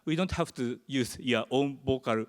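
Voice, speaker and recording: Japanese-accented male presenter, English as second language, halting delivery, mid-range voice, long hall echo. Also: medium pitch, smooth. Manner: halting, searching for words in English. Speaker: conference presenter. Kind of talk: conference presentation. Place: large conference hall.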